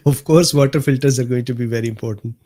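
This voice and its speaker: A man with a mellow, slightly hoarse voice hosts a live stream from his desk mic. He reads viewer comments aloud in an unhurried, easygoing way. He laughs often and answers viewers by name. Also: mid-range voice. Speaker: host of a live medical talk stream. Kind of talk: casual livestream chat reading viewer comments.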